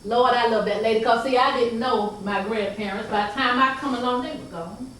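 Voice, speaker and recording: A woman's voice: fairly high pitch, steady tone, fairly bright tone, slight background noise. Older woman telling folksy stories. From North Carolina. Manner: folksy, chuckling, drawn-out asides. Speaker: storyteller. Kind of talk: folksy storytelling to a live audience. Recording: echoey room heard from a distance.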